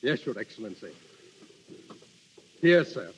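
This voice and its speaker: An actor playing a deferential, dutiful household servant in a vintage radio drama. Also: male voice, mid-range voice, big pitch swings, a little slow, slightly rough, exaggerated voice. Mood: obedient. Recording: muffled, reverberant old radio recording.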